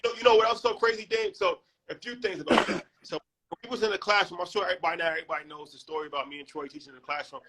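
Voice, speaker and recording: A male podcast host tells stories in quick, animated bursts. His voice is fairly high, with a crisp, forceful brightness. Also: poor audio. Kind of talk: casual conversation.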